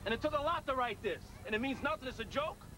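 A man coaching football, giving his team a stern, forceful talk outdoors. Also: extremely high pitch, lively intonation, quick pace. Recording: noisy outdoor field sound.

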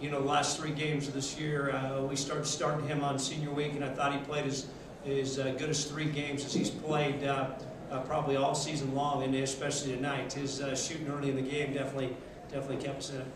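A middle-aged man, a basketball coach, answering reporters after a game at a press table, steady and measured, often repeating words as he thinks. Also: mid-range voice. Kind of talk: postgame press conference. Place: press conference room, seated at table. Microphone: table mic. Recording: echoey room, mic from a distance, noisy.

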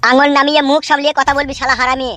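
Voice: high voice, level tone, racing, pushed and bright, voice pushed down. A voice performer playing an arrogant young bully in a cartoon, who makes boastful threats and insults. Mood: arrogant, threatening. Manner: boastful threats, then wailing in pain. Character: arrogant young male bully, son of a politician. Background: background music.

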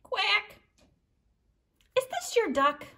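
A woman's voice: big pitch swings, drawn-out words, bright, forceful tone, a little hiss or hum, exaggerated voice. A woman doing a chirpy little storybook duck. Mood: playful. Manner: bouncy read-aloud voices, big pitch jumps. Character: chatty cartoonish duck, small and eager.

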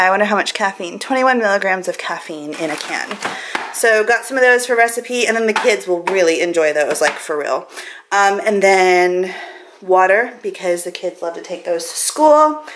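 Chatty American woman, noisy home recording. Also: medium pitch. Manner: chatty, informal, rambling. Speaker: vlogger. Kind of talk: casual grocery haul vlog chat. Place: home kitchen, indoors. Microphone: camera mic, handheld at arm's length.

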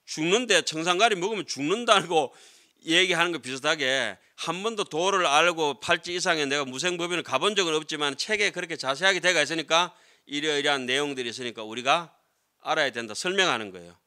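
A middle-aged man lectures as a Buddhist monk. His voice is fairly high and strikingly bright and forceful. He explains conversationally, often putting short tag questions to his listeners. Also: brisk. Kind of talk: classroom lecture on Buddhist scripture. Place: lecture room with seated audience, indoors. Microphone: desk gooseneck microphones.

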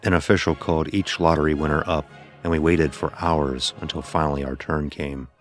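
A man playing a blind son who narrates his dark ordeal in a solemn, literary way, as horror drama. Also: rumbling low, fairly even intonation, a little fast. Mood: somber. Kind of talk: scripted first-person horror narration. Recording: voice over background music.

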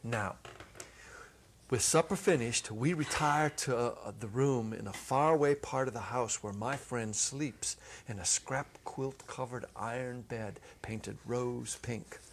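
A middle-aged man narrating a story dramatically from a script, with deliberate phrasing and pauses. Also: medium pitch, a little hiss or hum. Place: small indoor stage set. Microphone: clip-on lapel mic.

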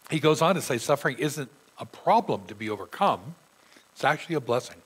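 Middle-aged guy preaching at a church pulpit, husky and a bit breathy, sore throat, earnest. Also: mid-range voice, animated, a little fast, low-quality recording. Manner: earnest preaching, reading scripture aloud with emphasis. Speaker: pastor. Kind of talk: sermon. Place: church sanctuary.

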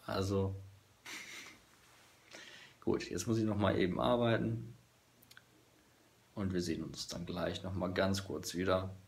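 Hoarse man, 30s, slow deep voice, casual vlog, halting. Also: steady tone. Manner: halting, many fillers and restarts. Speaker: vlogger. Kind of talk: casual vlog talk to camera. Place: small bedroom office indoors. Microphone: phone camera at arm's length.

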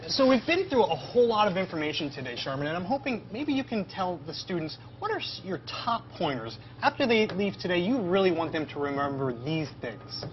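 A man's voice: high voice, very animated, fairly quick, few pauses. A man in his thirties hosting an educational tour as an upbeat, chatty presenter, recorded in a farm shed. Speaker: host, interviewer. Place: semi-open metal farm shed. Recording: dull audio with clear background noise.

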